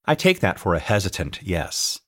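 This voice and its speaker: A middle-aged American man reading his own audiobook as an author and teacher, telling anecdotes in an expressive, scripted style. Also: sits low, moderate pace. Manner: expressive, anecdotal storytelling, acting out dialogue. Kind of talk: scripted narration, audiobook memoir.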